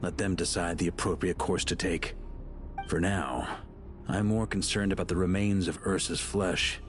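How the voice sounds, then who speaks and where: A man playing a composed game investigator with a very low voice. He lays things out in a measured, analytical and matter-of-fact manner, with faint music underneath. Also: natural voice. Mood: detached, pensive. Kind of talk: video game voice acting.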